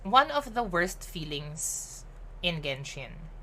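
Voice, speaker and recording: A woman with a Filipino accent chatting playfully with viewers as a game streamer, switching between English and Tagalog with quick teasing asides. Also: lowish voice, sing-song, sharp tone, slight background noise, rough recording. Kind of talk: casual live-stream chat.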